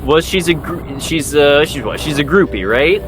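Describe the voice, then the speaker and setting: A man chats casually about film as a podcast co-host, his pitch moving up and down a lot. He is agreeable and easygoing in his phrasing, and swears now and then. Music is clearly audible behind his voice. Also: animated, normal speed, rough recording.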